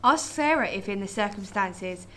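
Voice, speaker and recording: A woman's voice: mid-range voice, lively intonation, normal speed, fairly bright tone, a little hiss or hum, close to an everyday voice. A woman playing a firm, reassuring political aide in a radio drama.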